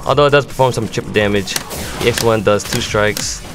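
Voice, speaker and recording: A man's voice: lowish voice, a little fast. A man giving an instructional commentary on fighting game moves, explaining them step by step as a competitive gamer. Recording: music playing behind the voice. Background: music.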